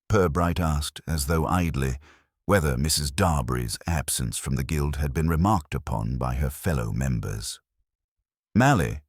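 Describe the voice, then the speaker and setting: A man with an extremely low voice narrates a mystery story. His delivery is measured and formal, yet the pitch moves in lively arcs. The sound is dry and close, like a studio audiobook. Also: husky, no room sound.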